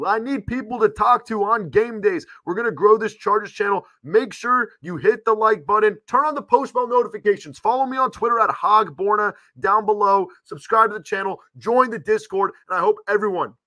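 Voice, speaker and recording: Male, twenties, higher-pitched, casual fan-stream host, voice starting to go. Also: bright, forceful tone. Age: 20s. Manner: informal, addresses viewers as guys. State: losing his voice. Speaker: livestream host. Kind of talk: casual fan livestream chat. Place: small home room, indoors. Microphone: close desk microphone.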